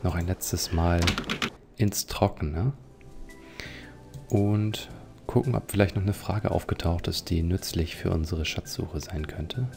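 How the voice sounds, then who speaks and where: A man's voice: low voice, slow, creaky, music in the background. A man hosting a let's play, giving leisurely, reflective commentary on a video game.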